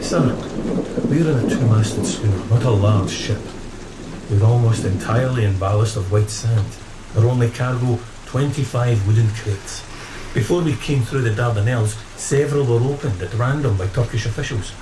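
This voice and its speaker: An actor playing a stern, rational first mate in a gothic audio drama, insistent and scornful. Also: male voice, medium pitch, moderate pace, background noise, natural voice. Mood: stern, dismissive. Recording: echoey room, loud rain and thunder.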